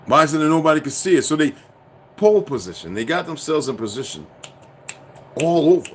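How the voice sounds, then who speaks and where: A man's voice: fairly high pitch, very animated, brisk, slight background noise. A man delivering a profane, preachy, insistent monologue to his viewers. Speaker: commentator.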